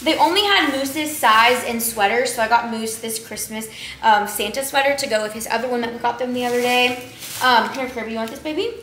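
A high-voiced young woman with a twang chats through a shopping haul, rambling and filling gaps with "like" and "um". She is recorded by a camera on the counter in her kitchen, which sounds roomy and echoey. Clear household noise runs underneath. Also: brisk, a bit bright. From Texas. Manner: chatty, rambling, lots of likes and ums. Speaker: vlogger. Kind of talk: casual haul chat to camera. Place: home kitchen, indoors. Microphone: camera on the counter at a distance.

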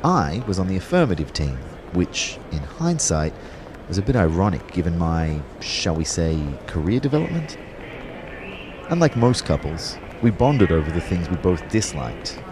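An actor playing a wry, lovestruck young personal trainer who narrates an audio drama in a measured, understated way. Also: male voice, deep voice, big pitch swings, background noise. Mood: wry, fond.

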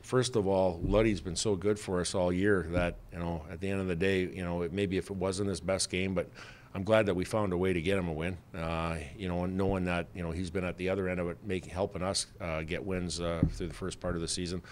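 A man's voice: low-pitched, level tone, fairly quick, a little hiss or hum. A middle-aged man, a head hockey coach, answering reporters after a game in a plainspoken way, with many fillers and restarts, at a desk mic in a press room. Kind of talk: postgame press conference answers. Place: press room with sponsor backdrop. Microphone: desk mic on stand.

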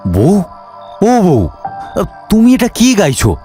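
Man playing an animated, crafty village husband. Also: high voice, slow, soft background music, full character voice. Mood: calling, suspicious. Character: crafty married village grandfather, pleading and earnest. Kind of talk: Bengali cartoon voice acting.

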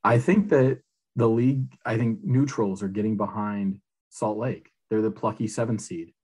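A man co-hosting a casual fan podcast, talking in a measured, reflective way. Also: fairly low pitch, fairly even intonation, a little mellow, slightly rough.

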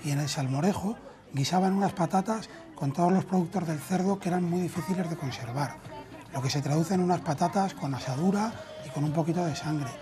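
A Castilian Spanish man in his thirties talks conversationally as a restaurateur being interviewed. He is miked on a lapel in a dining room, with music clearly playing behind him. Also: fairly high pitch, brisk. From Spain. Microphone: lapel mic.

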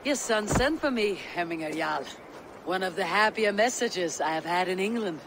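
High-pitched steadfast Viking warrior. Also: male voice, animated, normal speed, noisy background. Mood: warm. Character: young adult male Viking warrior, steadfast, loyal, wry. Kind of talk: video game cutscene acting.

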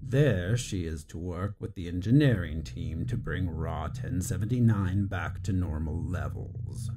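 A man's voice: deep voice, measured pace, mellow, husky. An actor playing a cold, condescending corporate bureaucrat who gives clipped orders and interrogates his captive. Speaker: superior officer running an interrogation.

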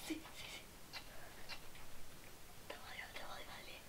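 A woman whispering in a breathy, gravelly voice as a little boy desperate to reach the finish of a game level. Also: moderate pace, pushed and bright, lightly acted. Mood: urgent.

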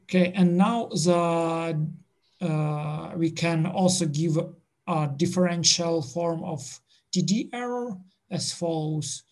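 Slow, halting man with a gravelly voice. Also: fairly high pitch. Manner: halting, many filler sounds, slow and deliberate. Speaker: study group presenter. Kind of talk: explaining slides on a video call. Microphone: video call.

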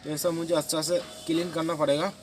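A man's voice: on the high side, level tone, fast talker. A young man, a car mechanic with a Kolkata Hindi accent, walks through a repair job, saying "dekho" and "theek hai" over and over. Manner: repeats "dekho" and "theek hai" while showing his work. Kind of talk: hands-on repair walkthrough. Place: outdoor garage workshop. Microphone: phone camera held while working. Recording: phone audio with garage noise.

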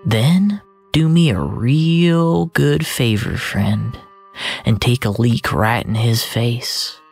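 Slow, hushed fiction narrator over music. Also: medium pitch, expressive, gravelly, slightly rough. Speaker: storyteller narrator. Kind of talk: literary first-person audio fiction narration.

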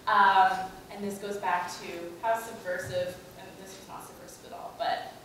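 A woman's voice: mid-range voice, level tone, normal speed. Young woman speaker, casual lecture delivery full of "um"s and pointed questions; distant camera in a very reverberant hall, room hiss audible. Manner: conversational, many fillers, rhetorical questions. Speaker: student organizer giving a talk. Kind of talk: conference talk. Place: large lecture room, in front of a projector screen. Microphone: far camera, no mic. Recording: echoey lecture room from far off.

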